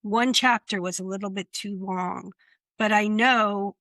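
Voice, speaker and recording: A woman in publishing gives direct, practical advice on a panel. Her voice is bright and forceful, and the sound is dry with no room echo. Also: medium pitch, steady tone, a little slow. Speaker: publishing professional. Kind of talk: panel discussion.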